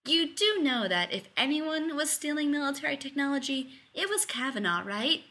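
A woman's voice: sits high, expressive, normal speed, pushed and bright, pitched above the performer's own voice. A woman voicing a blunt, sarcastic, unimpressed woman in a story reading, with exasperated delivery. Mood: pointed. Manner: sardonic, sighing exasperation.

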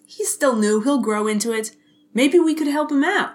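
Boyish wisecracking cop, acted by a woman: very high, animated pitch, playful and keen, audio drama. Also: moderate pace. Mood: eager. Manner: playful, enthusiastic. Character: boyish, excitable, wisecracking male police detective.

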